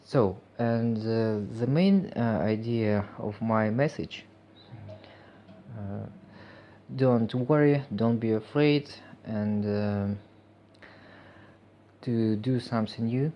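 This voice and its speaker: A man with a Russian accent, a native Russian speaker, talking in an unscripted personal vlog, halting and full of fillers, reflective and earnest. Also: lowish voice, lo-fi sound. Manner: hesitant, halting, many fillers. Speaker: vlogger.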